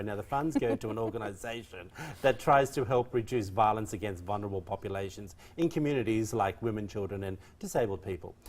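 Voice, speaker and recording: A woman with a soft Australian accent co-hosting a casual daytime talk show, chatty and quick to laugh, stretching out words for effect. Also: very deep voice. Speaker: co-host. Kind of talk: casual chat.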